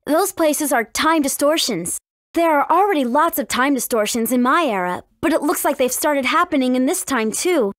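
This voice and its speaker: A friendly teenage princess heroine in a video game, speaks in a high, very animated voice. She is earnest and careful, and the recording is dry and close. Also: normal speed, no echo. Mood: informative, earnest. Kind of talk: video game dialogue acting.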